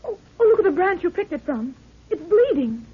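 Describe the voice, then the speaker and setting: A woman's voice: very high voice, big pitch swings, brisk. An actress playing a young explorer's daughter, wide-eyed and easily horrified, exclaiming her way through a 1930s radio serial. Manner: exclaiming, breathless wonder. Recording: tinny, band-limited 1930s radio recording.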